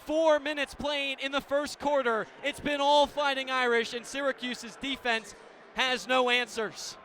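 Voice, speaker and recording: A very high-pitched American man calls a game live on radio. His tone is bright and forceful, his phrasing clipped and descriptive. Faint sports venue ambience sits behind the voice. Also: little pitch movement, measured pace, slight background noise. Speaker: play-by-play radio commentator. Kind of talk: live lacrosse radio commentary.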